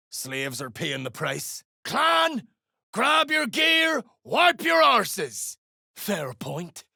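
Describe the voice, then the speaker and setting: A gruff, greedy dwarf mercenary for a fantasy game. The voice is heavily fried and gravelly, with a forceful bright edge. The coarse, threatening lines swing widely in pitch. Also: dry recording, clean studio sound, exaggerated voice. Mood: angry, threatening. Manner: coarse, swearing, dialect-heavy threats. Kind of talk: video game character voice acting.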